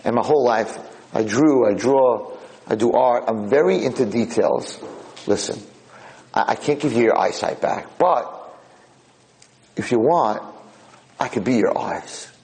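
A man's voice: medium pitch, a little slow, pauses often, darkish tone, lightly acted, low-quality recording. A man voicing a kindly, happy-go-lucky elderly nursing home resident in a spoken story, earnest and prone to stammering. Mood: earnest, persuasive. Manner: earnest, stammering when flustered.

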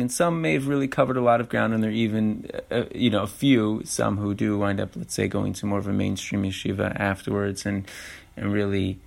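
A man speaks alone into a podcast mic in a low, gravelly voice. He thinks aloud and backs up often to restart his sentences. He talks in a reflective, unhurried way. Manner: halting, many restarts and fillers. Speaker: podcast host. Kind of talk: reflective solo podcast talk.

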